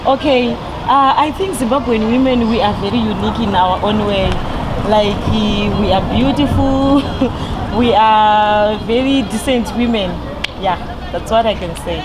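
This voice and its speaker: A woman's voice: medium pitch, a little slow. Young female interviewee, frank and light, roadside with traffic. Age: young adult. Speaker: beauty spa owner. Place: outdoors on a roadside. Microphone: interviewer's handheld mic. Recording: clear street noise.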